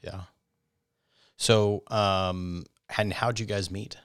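Male host, low and dry, curious interviewer. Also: fairly even intonation, extremely slow, smooth, no room sound. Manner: curious, short prompting questions. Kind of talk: casual interview.

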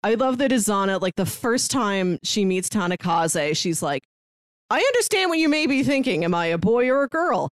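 A woman co-hosting a podcast, chatting casually and telling stories in an animated way, acting out the lines she quotes. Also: mid-range voice, normal speed, no room sound, studio quality. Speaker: podcast host. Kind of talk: casual chat about a manga.

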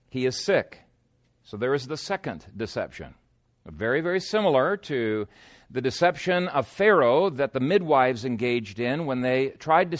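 A man's voice: mid-range voice, no echo, clean studio sound. A man preaching an expository sermon in a conversational, explanatory way, moving between scripture reading and informal asides.

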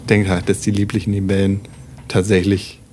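A man improvising a tabletop role-playing story in a casual way, haltingly, thinking aloud as he goes. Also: deep voice, monotone, dark tone, slight background noise.

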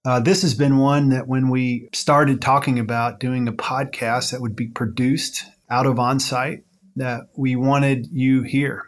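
Man, podcast co-host, loose friendly talk, many fillers, middle pitch. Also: flat delivery, a little slow. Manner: warm-hearted, loose, with many fillers and restarts. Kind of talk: podcast introduction and interview.

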